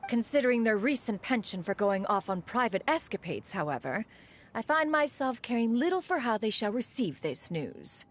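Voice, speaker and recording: A stately, formal crane spirit. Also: female voice, fairly high pitch, sing-song, moderate pace, a little hiss or hum. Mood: aloof. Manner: archaic, formal, refers to self as one. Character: ancient formal female crane spirit, dignified.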